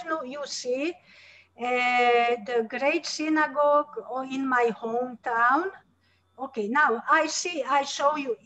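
An older woman speaking haltingly over a video call, with many fillers and restarts. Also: sits high, a bit bright. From Slovakia, Hungarian-speaking border town. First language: Hungarian. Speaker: interviewee, artist and Holocaust survivor's daughter. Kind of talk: video-call interview, showing slides. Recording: dull lo-fi call audio.

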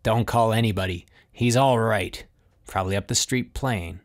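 Monster father for a storybook, played by a male narrator: lowish, cold, dismissive, short-tempered. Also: normal speed, natural voice. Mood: angry, dismissive. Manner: curt, dismissive.

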